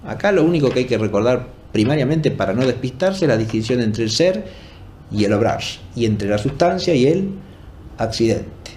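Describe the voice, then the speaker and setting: A man giving an explanatory academic lecture off the cuff, deliberate and often checking for agreement with short tag questions. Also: mid-range voice, expressive, a little mellow, slight background noise. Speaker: philosophy lecturer.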